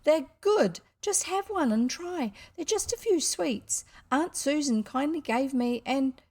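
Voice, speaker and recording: A woman's voice: on the high side, very animated, measured pace, darkish tone, exaggerated voice. A mischievous storybook schoolboy played by a woman. He is earnest and stubborn, with a boastful, self-important way of talking. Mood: dogged protest.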